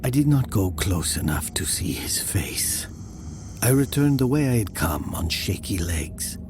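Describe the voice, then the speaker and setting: A man narrating a first-person horror story dramatically, in a hushed, deliberate, often whispery manner. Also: low-pitched, very animated, background noise.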